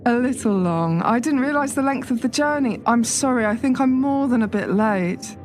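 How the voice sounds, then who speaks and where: Woman acting a polite, highish-voiced young newcomer. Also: lively intonation, normal speed, a little mellow, faint music behind, natural voice. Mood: apologetic, grateful. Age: young adult. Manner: reserved, hesitant, polite. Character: polite, hesitant young woman newly out of college. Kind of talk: audio drama acting.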